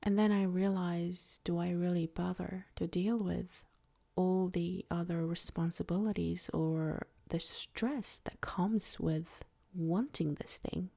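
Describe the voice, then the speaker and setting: A woman guiding a soft-spoken ASMR meditation in a breathy, near-whispered voice, with gentle, deliberate phrasing. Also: lowish voice, steady tone. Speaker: ASMR creator and meditation guide.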